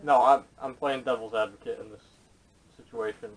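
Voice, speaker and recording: A man's voice: mid-range voice, fairly even intonation, moderate pace. A man co-hosting a casual podcast with blunt, contrarian interjections and dry jokes, recorded on a camera microphone. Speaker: podcast co-host. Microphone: camera mic. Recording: poor salvaged camera audio.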